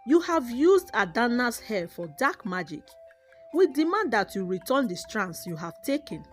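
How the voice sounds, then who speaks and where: A grave male village healer from a folktale acted by a woman storyteller. He speaks solemnly and with command, his pitch swinging as he pronounces. Soft music sits underneath. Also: big pitch swings, normal speed. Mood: stern, accusing. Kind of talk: folktale character voice.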